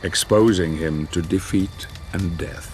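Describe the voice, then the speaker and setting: An actor playing a grave, brooding storyteller who narrates a dark fantasy game in a deliberate, dramatic way, with a husky voice. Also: male voice, low voice, a little hiss or hum. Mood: solemn, brooding. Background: music.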